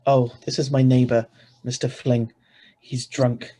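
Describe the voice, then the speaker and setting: A man's voice: medium pitch, level tone, unhurried, dark tone, close to an everyday voice. Man acting flustered ordinary husband, radio play. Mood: agitated, insistent. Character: ordinary married man, flustered, narrating his own story. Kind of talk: 1940s radio acting read aloud. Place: video call reading.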